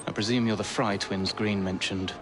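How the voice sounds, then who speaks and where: Actor as secretive victorian copper, lowish voice, clipped and stern, video game scene, noise behind. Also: male voice, steady tone, moderate pace, lightly acted. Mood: stern, discreet. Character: furtive Victorian police sergeant, discreet and exasperated. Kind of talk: video game cutscene acting. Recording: noisy game stream capture.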